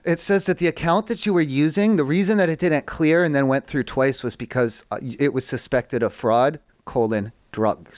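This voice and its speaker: A man playing an evasive, absurdly earnest customer service agent in a prank call, halting, deadpan and pedantic. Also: a little high, no room sound, lightly acted. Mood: deadpan, officious. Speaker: prank caller posing as a complaints phone agent. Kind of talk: improvised prank phone call. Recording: tinny, band-limited phone-show audio.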